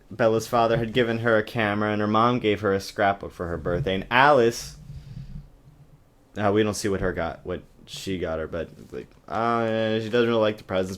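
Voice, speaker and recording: A man's voice: fairly low pitch, normal speed, a bit bright. A man reading a novel aloud in a theatrical, exaggerated way and adding sarcastic comic asides, recorded on a webcam. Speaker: amateur reader and comic commentator. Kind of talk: mocking dramatic book reading with sarcastic asides. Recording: choppy amateur webcam recording.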